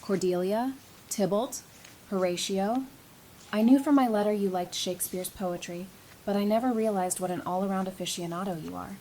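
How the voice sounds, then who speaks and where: Wry, teasing woman as a quick-witted flirt, noisy recording. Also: warm tone, close to an everyday voice. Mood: playful. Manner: dry, teasing banter. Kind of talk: scripted audio drama acting. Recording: noisy track with low rumble.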